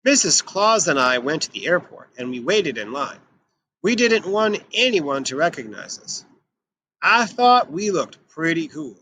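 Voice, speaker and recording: Male children's storybook reader, higher-pitched and bright, expressive and emphatic delivery. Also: a little slow, slight background noise, rough recording. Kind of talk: expressive read-aloud of a picture book for children.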